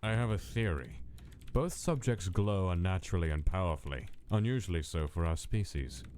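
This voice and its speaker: An actor playing a cold, clinical skeleton scientist with a measured, formal, menacing delivery in a dramatic comic dub. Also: male voice, sits low, animated, darkish tone, slight background noise. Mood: clinical musing. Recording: background music under the voice.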